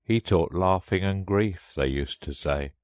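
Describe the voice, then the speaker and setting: Man voicing a deep, hollow, mournful Mock Turtle. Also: smooth, no echo, clean studio sound. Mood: wistful. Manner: slow, mournful, sighing. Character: melancholy, sighing old Mock Turtle, easily offended. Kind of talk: storybook character reading.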